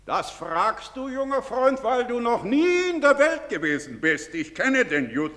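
An actor playing a pompous, self-important, bigoted middle-aged village doctor, declaiming in a theatrical stage manner. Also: male voice, very high voice, sing-song, unhurried, fairly bright tone, exaggerated voice. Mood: lecturing, condescending. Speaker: stage actor in a broadcast drama. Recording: reverberant theatre stage recording.

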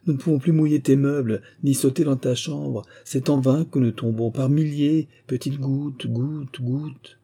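A man's voice: mid-range voice, steady tone, a little slow, few pauses, warm tone, husky, pitched above the performer's own voice. A man voicing tiny, plaintive raindrop spirits reproaching someone in a storybook tale. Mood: plaintive, reproachful. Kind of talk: storybook character voice.

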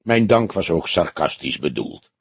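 A man's voice: low voice, sing-song, a little slow, slightly rough, no room sound, voice pushed down, exaggerated voice. A man voicing an earnest young male detective, a questioning and slightly wry junior partner in an audiobook. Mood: apologetic.

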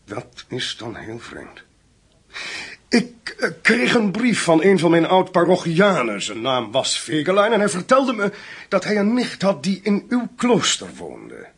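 Sluwe, beleefde neppriester, levendige stem. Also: male voice, high voice, huge pitch swings, unhurried, breathy edge, heavily acted. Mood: polite, hesitant. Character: male schemer posing as a polite priest. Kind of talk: radio play acting.

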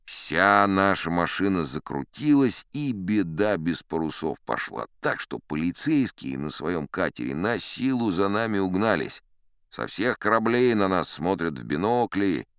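A male storyteller with a deep, highly varied delivery. His voice is clean and resonant, recorded dry and close, and he spins the story expressively, as if chatting to his listeners. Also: clear, resonant tone, no room sound. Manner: expressive, conversational yarn-spinning. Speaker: audiobook actor narrating as a storyteller sea captain. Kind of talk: animated scripted first-person storytelling.

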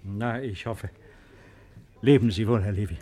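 An actor playing a polite, worried German baron in a 1950s radio drama, hesitant and repeating himself. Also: male voice, fairly low pitch, measured pace, warm tone, slightly rough. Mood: worried, courteous. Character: worried, courteous German baron, a fleeing landowner. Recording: noisy old 1950s radio recording.

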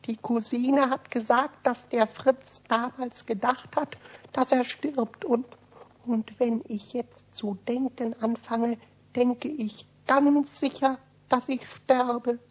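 A very high, slow-drawling little kobold, whiny and stubborn, dramatising every word like a self-pitying imp. It is radio play acting on a dull, lo-fi old recording. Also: female voice, fairly even intonation. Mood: brooding, self-pitying. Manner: wailing, drawn-out, overdramatic.

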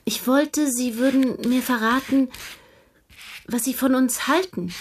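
A woman's voice: high voice, unhurried, slight background noise. An actress playing a restless, guarded young woman in a radio drama, earnest, probing and direct in her speech. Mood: wry, probing.

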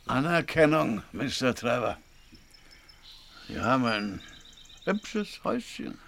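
Menacing old sailor blackmailer, gravelly and sharp, slow insinuating drawl, falsely polite, radio drama. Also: male voice, mid-range voice, big pitch swings, slight background noise, exaggerated voice. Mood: sly, insinuating. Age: older.

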